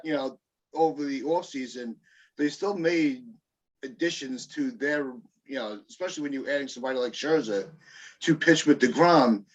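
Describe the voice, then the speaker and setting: Man asking questions over dull video call. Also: fairly high pitch. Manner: leading questions, conversational. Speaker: podcast host. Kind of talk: casual sports chat.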